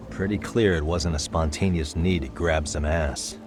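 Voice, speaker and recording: An actor playing a calm, sharp male detective in a video game cutscene, talking through a case in a level-headed, deductive way. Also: rumbling low, normal speed. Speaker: private detective. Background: background music.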